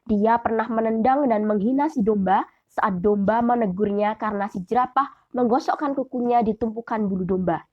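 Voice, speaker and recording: A woman's voice: fairly high pitch, no room sound, lo-fi sound. A young woman reading a scripted children's fable as storyteller. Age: young adult. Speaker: storyteller, student.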